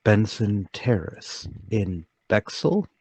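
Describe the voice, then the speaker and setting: An older gentleman played by a man in a radio mystery, low and husky. He is polite, haunted and forgetful, and he picks out his words slowly in a dry, close sound. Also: sing-song, no echo, full character voice. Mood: polite, probing. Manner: halting, polite, deliberate. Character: troubled, forgetful, soft-spoken older gentleman, haunted and deliberate. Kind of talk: radio mystery drama table read.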